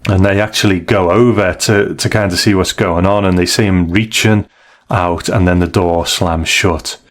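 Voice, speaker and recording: A man with a low voice chats as a podcast co-host. He recaps a story casually, rambling and restarting as he feels his way through it. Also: fairly even intonation, moderate pace.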